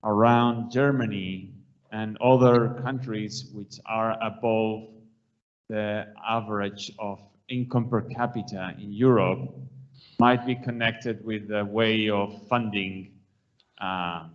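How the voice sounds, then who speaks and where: A man's voice: lowish voice, fairly even intonation, slow pace. A man chairing an online session, asking and thanking in plain, tentative words. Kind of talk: online Q&A moderation. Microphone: video call. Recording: dull lo-fi video call audio.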